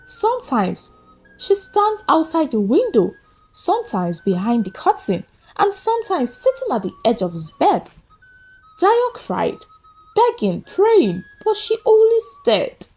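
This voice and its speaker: A woman narrating a scripted folktale as a storyteller, with suspenseful, deliberate phrasing. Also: very high-pitched, wildly swooping pitch, darkish tone. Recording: music behind the voice. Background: background music.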